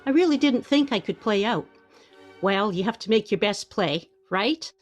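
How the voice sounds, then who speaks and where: Woman playing a demure wife with lively pitch. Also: moderate pace, soft background music, close to an everyday voice. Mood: apologetic. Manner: mild, understated, defensive. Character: demure, apologetic but quietly stubborn wife. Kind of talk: radio play acting.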